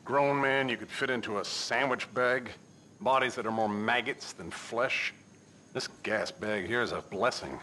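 A jaded, bright-voiced coroner. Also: male voice, mid-range voice, unhurried, a little hiss or hum. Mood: dry, jaded. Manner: dry, sardonic, clinical. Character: jaded, wisecracking male senior coroner. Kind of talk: video game cutscene acting.

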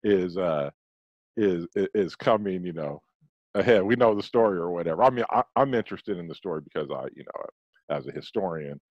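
Male co-host, low voice, dull dry lo-fi sound, halting stammering chat. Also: lively intonation, no room sound. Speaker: podcast co-host. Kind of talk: casual video-call chat. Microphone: headset on video call.

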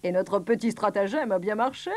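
Woman voicing a slow, jovial, scheming storybook doctor. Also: medium pitch, darkish tone, pitched below the performer's own voice, close to an everyday voice. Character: jovial, scheming old-fashioned male doctor, slow and booming.

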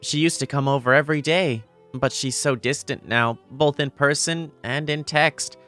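Woman voicing an earnest low-voiced teenage boy. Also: moderate pace, fairly bright tone, faint music behind. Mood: reflective. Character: earnest, easily flustered teenage boy, idol's fiancé. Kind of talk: manga dub dialogue and first-person narration.

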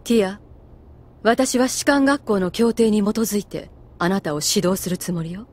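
An actress playing a stern, dignified military instructor in an anime audio drama, formal, cool and authoritative. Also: female voice, medium pitch, animated, moderate pace, a little mellow, lightly acted. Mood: cool, firm. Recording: music behind the voice.

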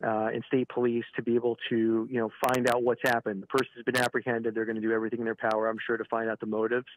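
A man giving a live news interview by phone, halting and repeating words, often saying "you know". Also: mid-range voice, monotone. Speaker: regional director of an advocacy organisation, interview guest by phone. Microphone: telephone. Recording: phone call with clear background noise.

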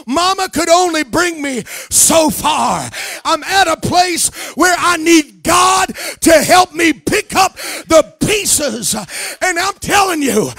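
A middle-aged American man preaches with a very high, gravelly voice. His delivery is forceful and emphatic, stacking repeated phrases in an impassioned church sermon. He speaks close into a handheld microphone, with a bright, hard edge to the sound. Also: no echo. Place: indoor church meeting room, at a pulpit. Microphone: handheld microphone held close.

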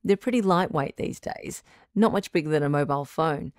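A woman's voice: sits low, brisk, no room sound, studio quality, close to an everyday voice. A woman playing a frank, reassuring doctor who hosts a radio call-in advice show, encouraging and matter-of-fact in a conversational style. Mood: reassuring, practical.

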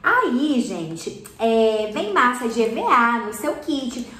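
A woman's voice: fairly high pitch, very animated, slow pace, pushed and bright. A woman in her thirties hosting a slime-making tutorial for kids, chatty and encouraging, often checking in with her viewers as she mixes. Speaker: slime channel host. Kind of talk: hands-on craft tutorial for kids. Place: indoor studio corner packed with colorful slime products. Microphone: fixed camera at table, mid shot. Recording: roomy indoor echo.

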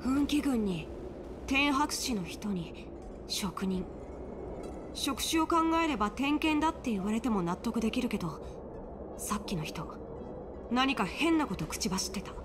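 A woman voicing an earnest, sharp boy swordsman, lightly exasperated, in a Japanese video game. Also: a little high, animated, measured pace, long pauses, background noise. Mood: serious, watchful.

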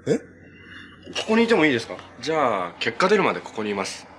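A man's voice: mid-range voice, huge pitch swings, fast, a bit bright. An actor playing an easygoing, kind young male hero in a TV superhero drama, speaking in a friendly, earnest, casual way. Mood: casual, upbeat. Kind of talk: naturalistic Japanese TV drama acting. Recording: TV drama audio with noticeable background noise.

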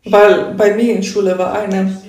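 A young woman with a Ukrainian accent talks slowly and haltingly into a phone held at arm's length. She chats in a loose livestream rant, often checking in with the listener. Her voice carries a roomy echo from the indoor space. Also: mid-range voice, flat delivery, a little mellow. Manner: halting broken German, often asks "weißt du?". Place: indoor room with a sofa.

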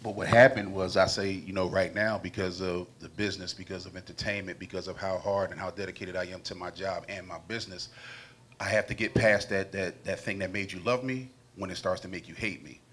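A man's voice: sits low, steady tone, fairly quick, fairly bright tone. A man on a panel, earnest and building long lists as he talks, on a handheld mic. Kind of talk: casual panel interview.

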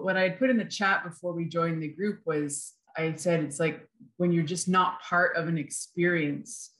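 A woman talking thoughtfully in a group discussion over a roomy, echoing video call. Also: on the low side.

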